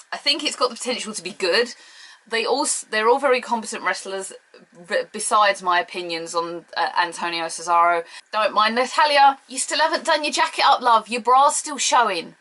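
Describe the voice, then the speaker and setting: A young British woman chatting excitedly to camera, giving opinionated and playfully teasing wrestling predictions. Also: mid-range voice, poor audio. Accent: British English. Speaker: wrestling preview vlogger. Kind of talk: casual opinionated chat to camera.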